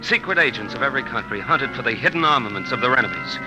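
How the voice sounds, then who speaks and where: A man's voice: mid-range voice, expressive, fast talker, natural voice, poor audio. An actor playing an earnest, plain-spoken college man in dramatic vintage radio narration and dialogue. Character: earnest college man narrating his professor friend's story. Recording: old radio recording with music.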